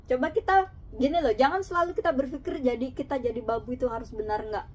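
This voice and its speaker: A woman's voice: sits high, fast talker. A woman who works abroad as a domestic helper, giving casual, earnest advice to fellow workers, laughing often and slipping in English words. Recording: noticeable background noise.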